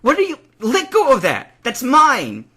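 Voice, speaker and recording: A stubborn young boy creature from an adventure story, acted out by a man, yells with desperate defiance. The voice is high, sharp and forceful, swinging widely in pitch over faint music. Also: huge pitch swings, measured pace, breathy edge, heavily acted. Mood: furious. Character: frantic young boy turned floating psychic creature, stubborn and loud.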